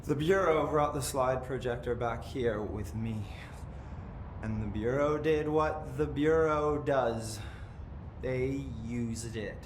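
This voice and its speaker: A man playing an eerie possessed young American in a video game. He talks slowly, resentful and entranced, slipping into cryptic chant-like repetition. The room echoes around him over loud background ambience. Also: mid-range voice, a little hiss or hum, lightly acted. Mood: resentful, detached. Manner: slow, trance-like, sing-song repetition of cryptic phrases. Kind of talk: video game cutscene acting.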